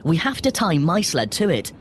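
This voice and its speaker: Expressive bold young boy, voiced by a woman. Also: lowish voice, normal speed. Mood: bold, excited. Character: Kay, bold young boy from a fairy tale. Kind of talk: fairy-tale audio story acting.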